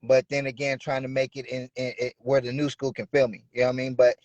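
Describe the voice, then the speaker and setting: A man's voice: mid-range voice, flat delivery, fast, darkish tone, no room sound, dull audio. Laid-back Bay Area man in his thirties. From Bay Area, California. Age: 30s. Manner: laid-back, fills with "you know what I'm saying". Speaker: rapper guest. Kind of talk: casual interview talk.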